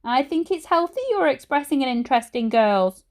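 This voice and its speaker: Woman acting a high-pitched, nosy storybook mum. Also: animated, a little fast, darkish tone. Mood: coaxing, reassuring. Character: well-meaning, nosy mum, bright and insistent.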